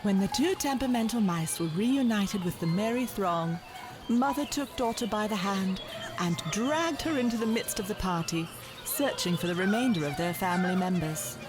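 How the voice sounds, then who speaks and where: A woman tells a children's storybook tale with lively, varied intonation. Her narration is scripted and literary, with music playing behind it. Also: mid-range voice, moderate pace.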